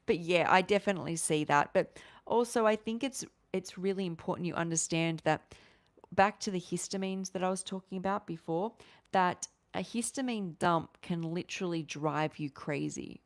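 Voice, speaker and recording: A woman in her forties hosting a casual livestream, talking in a rambling, animated way with many restarts. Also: on the low side, normal speed. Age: 40s. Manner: rambling, animated, restarts often, gestures with hands. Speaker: livestream host. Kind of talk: casual livestream chat answering viewers.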